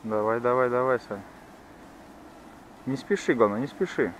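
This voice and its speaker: A man's voice: medium pitch, lively intonation, normal speed. Man chatting outdoors over noisy hum. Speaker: angler filming a fishing outing. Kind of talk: casual chat while fishing. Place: outdoors on a pond bank. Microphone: handheld camera.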